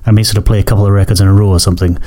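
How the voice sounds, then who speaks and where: Croaky guy with a cold doing his podcast, low mellow voice, talks fast and keeps correcting himself. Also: flat delivery. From Scotland. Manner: rambling, self-correcting, apologetic. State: hoarse from a cold. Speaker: podcast host. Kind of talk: casual solo podcast chat.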